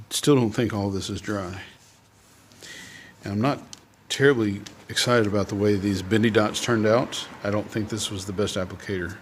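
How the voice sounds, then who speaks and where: Male artist-host, lower register, casual musing demo delivery with trailing thoughts, faint background noise. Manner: musing, thinking aloud, trailing off. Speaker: artist hosting a drawing video. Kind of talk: casual unscripted art demonstration talk.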